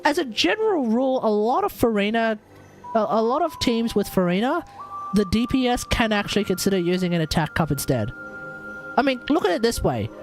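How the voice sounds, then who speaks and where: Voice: medium pitch, sing-song, fairly quick, faint music behind. A game streamer chatting casually and rambling through builds in an incredulous, sweary way. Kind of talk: casual livestream chat about game builds.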